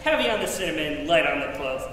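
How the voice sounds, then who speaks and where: A man's voice: a little high, sing-song, fast, pushed and bright, soft background music. A young man on stage playing an ancient, innocent guardian angel with a genteel, earnest manner in a live radio play. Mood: innocent, cheery. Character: ancient, innocent, eager male second-class guardian angel. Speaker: stage actor. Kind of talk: live 1940s-style radio play acting. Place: theater stage in a large auditorium. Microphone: distant camera. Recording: echoey hall from far off.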